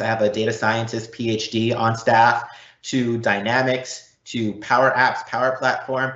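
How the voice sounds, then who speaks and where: A man giving an explanatory live online presentation as a technology consultant, often checking in with a quick "right?" or "okay?". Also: medium pitch, fairly bright tone. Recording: roomy, slightly dull online event audio.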